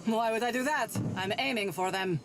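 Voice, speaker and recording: A woman's voice: a little high, brisk, natural voice. An actress voicing a brash, fearless female Spartan soldier in a video game audio log, with a cocky, blunt manner. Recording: loud noisy game battle audio.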